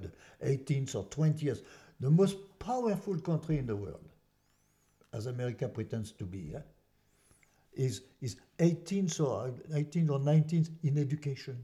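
An older man with a French accent, a French native speaker giving an interview, emphatic and prone to repeating himself. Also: mid-range voice. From France. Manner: emphatic, repeats words, drops into quiet asides. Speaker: interview guest. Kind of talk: conversational interview.

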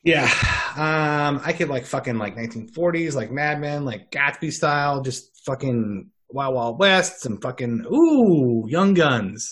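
A man's voice: medium pitch, animated, measured pace, few pauses, a bit bright. A man chatting on a podcast, rambling off on tangents and swearing casually. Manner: rambling, profane, tangent-prone. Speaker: podcast host.

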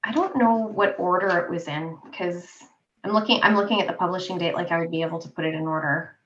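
A woman's voice: fairly low pitch, fast, lo-fi sound. A chatty woman with a young-sounding voice, a podcast guest in casual conversation over a remote call. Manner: chatty, laughs easily. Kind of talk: casual chat.

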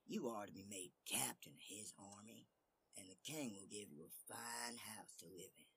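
A man's voice: fairly low pitch, normal speed, fairly bright tone, a little hiss or hum. A man voicing a wise old prime minister of a fairy-tale court, formal and courteous. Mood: courteous, inviting.

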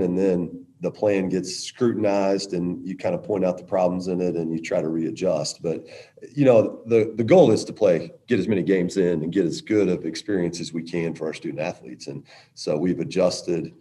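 A middle-aged man, a women's basketball coach, answering press questions in a halting way with many restarts. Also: deep voice, mellow.

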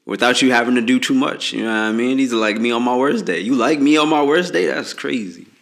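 Late-twenties male co-host, easygoing and jokey, mid-pitched voice, casual podcast chat. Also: fast talker, gravelly, a bit bright. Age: late 20s. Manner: laid-back, joking, slangy advice.

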